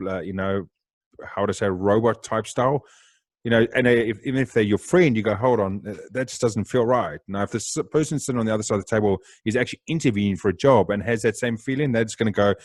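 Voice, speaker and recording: South African man, podcast host, loud, quick, excitable, dry close mic. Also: fairly low pitch, dry recording. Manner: loud, excitable, talks fast. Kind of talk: casual interview chat.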